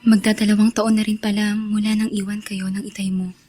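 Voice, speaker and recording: A woman plays a caring young confidante in a Filipino radio drama. Her voice is medium in pitch, gentle and concerned, with a Filipino accent. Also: flat delivery, brisk, soft background music, natural voice.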